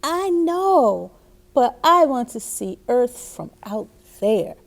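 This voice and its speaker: A woman's voice: a little high, very animated, takes it slowly, creaky, heavily acted. A woman voicing a dreamy, eager little girl in a storybook, earnest and full of wonder.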